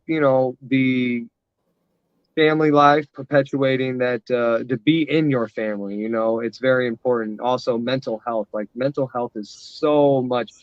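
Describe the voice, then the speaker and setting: A man's voice: mid-range voice, no echo, dull audio. A man hosting a casual livestream, rambling with many fillers and restarts as he talks to his chat. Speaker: livestream host.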